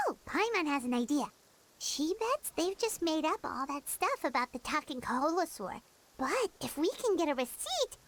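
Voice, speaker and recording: A woman voicing a small, childlike fairy companion in a video game, perky and suspicious, with a squeaky voice. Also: slight background noise, heavily acted. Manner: excitable, refers to self by name.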